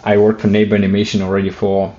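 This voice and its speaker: Russian-accented male guest, low monotone, halting, muffled. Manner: hesitant, many fillers and restarts, light joking. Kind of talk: casual interview talk.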